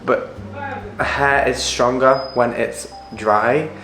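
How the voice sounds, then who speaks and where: A man, a hairstylist, sounds husky after the flu and explains things directly in an educational vlog. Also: medium pitch, steady tone, drawn-out words, fairly bright tone, echoey room, a little hiss or hum, rough recording. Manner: direct, explanatory, asks the viewer if it makes sense.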